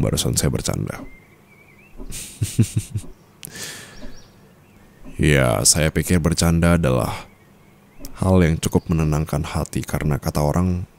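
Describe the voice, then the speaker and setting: An actor with an Indonesian accent playing a calm, teasing and tender mafia boss in an intimate ASMR roleplay, speaking softly and slowly with pauses. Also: male voice. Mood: teasing, playful. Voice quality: very deep, creaky voice.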